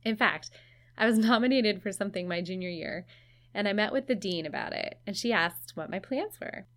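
A woman's voice: mid-range voice, normal speed. A woman hosting a podcast, narrating in a conversational, lightly self-deprecating way. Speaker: podcast host.

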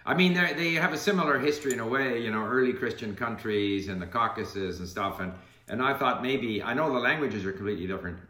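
A man's voice: medium pitch, fast talker, hardly any pauses, bright, forceful tone, roomy sound. A man hosting a casual interview, asking curious follow-up questions. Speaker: interviewer, channel host.

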